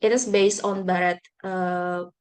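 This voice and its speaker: A woman English teacher with an Indonesian accent, whose first language is Bugis, gives a measured presentation with frequent fillers and self-corrections. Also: on the low side, flat delivery. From Indonesia (South Sulawesi). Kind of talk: online speaking-practice presentation.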